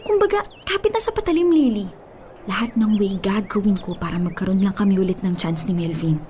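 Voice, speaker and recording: A woman's voice: medium pitch, animated, brisk, dark tone, slight background noise, close to an everyday voice. An actress playing a stubborn, lovesick young woman in a Filipino radio drama, speaking in an emotive, pleading way. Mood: insistent.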